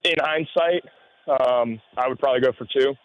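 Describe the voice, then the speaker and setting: A man who coaches high school football, explaining things in spontaneous interview answers and often saying "you know". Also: normal speed, no room sound. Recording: dull lo-fi radio audio.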